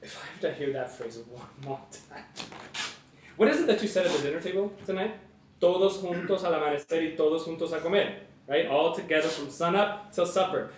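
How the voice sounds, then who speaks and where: A man's voice: sits high, fairly bright tone, heavy reverb, far from the mic. A man playing a cold, sneering older man. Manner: sneering, lecturing. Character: cold, cutting older man, demanding and scornful. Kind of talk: audio drama acting.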